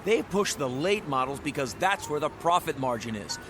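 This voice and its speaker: Radio drama: actor as bombastic male car huckster, high voice, folksy, relentless wheedling. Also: animated, normal speed, music in the background, natural voice. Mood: indignant, persuasive. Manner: bombastic, wheedling, florid sales patter. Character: pushy, glib used-car salesman, a folksy fast-talking huckster.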